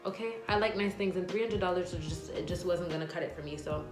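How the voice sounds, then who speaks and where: Young woman in her 20s, lowish voice, rambling casual vlogger delivery, echoey room. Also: quick pace. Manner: chatty, rambling, many "like" and "um" fillers. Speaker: nurse and vlogger. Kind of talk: casual Q&A vlog, reading viewer questions. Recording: echoey room with faint music.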